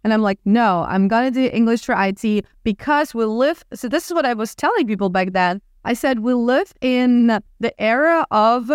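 Woman, Ukrainian accent, 30s, smooth clear voice, dry close sound, chatty with restarts. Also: fairly high pitch, normal speed, hardly any pauses, no echo. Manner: lively, many restarts and fillers. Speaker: guest entrepreneur. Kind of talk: casual interview talk.

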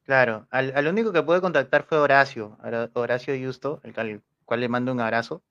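A male podcast host chatting casually with his guest, friendly and talkative, adding asides and quick acknowledgments. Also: medium pitch, a bit bright, muffled recording. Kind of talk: casual interview chat.

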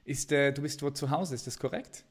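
A man's voice: mid-range voice, fairly even intonation, brisk. A man hosting a podcast in a casual, informal and enthusiastic way, introducing and interviewing a guest. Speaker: podcast host.

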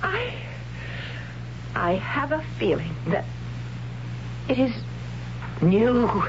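An actress playing a troubled young warrior woman in a 1970s radio drama, speaking haltingly and close to tears, on a muffled old radio recording with hiss. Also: fairly high pitch, very animated, exaggerated voice. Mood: torn. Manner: halting, hesitant, breaking into tears.

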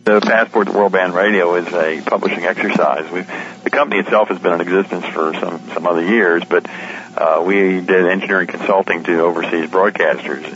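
Guy with a deepish voice explaining his publishing stuff in a radio interview, kinda dull muffled sound. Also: fairly even intonation, a little fast, fairly bright tone. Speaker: publisher and editor of a shortwave radio guide, interviewee.

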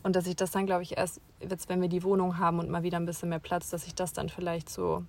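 Junge Frau, zögernd im Plauderton. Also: female voice, fairly low pitch, completely flat delivery, fast, gravelly, a little mellow. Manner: hesitant, many restarts and fillers. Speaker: podcast co-host. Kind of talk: casual couple chat. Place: small camper van.

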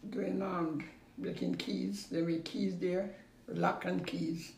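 A woman in her eighties with a Jamaican accent, recalling her past in an unhurried way that repeats phrases and trails off. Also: lowish voice, flat delivery, gravelly. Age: 80s. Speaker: interviewee. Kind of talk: casual recollection. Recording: roomy recording with faint background noise.

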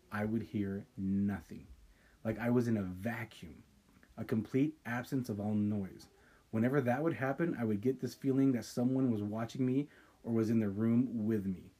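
A man co-hosting a casual podcast, reading a listener's ghost story aloud with dramatic pauses and stopping to react. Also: medium pitch, warm tone, slightly rough. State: sipping wine. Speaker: podcast co-host.

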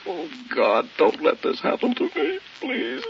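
High-pitched, terrified man buried alive. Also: wildly swooping pitch, measured pace, creaky, exaggerated voice. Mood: despairing. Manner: anguished, melodramatic, gasping between pleas. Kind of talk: old radio horror acting. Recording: dull, noisy old radio recording, distant.

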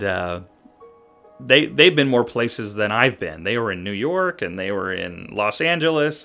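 A man who is a guest on a podcast, telling stories in a rambling, halting way. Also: fairly low pitch, creaky, sharp tone. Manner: rambling storyteller, halting restarts. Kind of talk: casual podcast chat.

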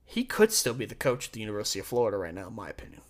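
A man's voice: fairly low pitch, huge pitch swings, racing. An American man hosting a sports show, delivering an opinionated solo commentary in an emphatic, conversational way. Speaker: sports podcast and radio host.

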